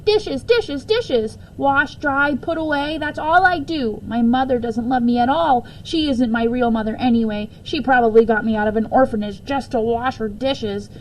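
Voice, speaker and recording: An aggrieved eight-year-old storybook runaway, played by a woman reading aloud. The little girl speaks in a high, dramatic voice. Faint noise sits behind the words. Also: moderate pace, few pauses, natural voice, low-quality recording. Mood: aggrieved, whiny.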